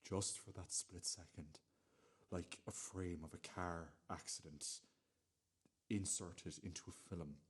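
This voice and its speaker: A man playing an intense, tormented character confessing sudden anguish in slow, brooding fragments for a radio play. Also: low-pitched, steady tone, husky, full character voice.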